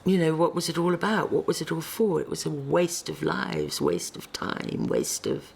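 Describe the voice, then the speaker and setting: A woman's voice: low voice, very animated, normal speed, creaky, slight background noise. An older woman, a radio guest, speaking wistfully and searching for her words.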